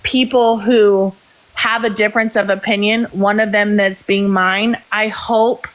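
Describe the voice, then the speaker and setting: Calm, reflective woman on a livestream. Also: medium pitch, a little slow, poor audio. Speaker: livestream guest. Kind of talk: earnest livestream discussion.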